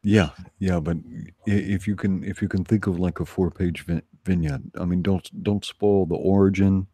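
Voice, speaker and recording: A man's voice: low-pitched, steady tone, moderate pace, dark tone, slightly rough, no room sound. A man co-hosting a livestream with casual, joking banter. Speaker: livestream co-host.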